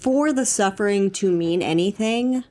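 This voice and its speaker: A woman's voice: medium pitch, measured pace, dark tone. A young woman co-hosting a podcast, giving her opinions in an informal, emphatic way. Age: young adult. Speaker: podcast co-host. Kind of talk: casual opinionated discussion chat.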